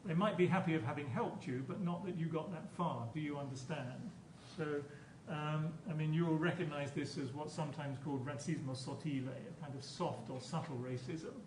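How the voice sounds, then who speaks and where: Guy lecturing like a professor, kinda halting, far from the mic w some background noise. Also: a little high, darkish tone. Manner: hesitant, repeats words and phrases while explaining. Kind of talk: academic conference lecture. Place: lecture room at a conference. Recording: echoey room, distant mic, background noise.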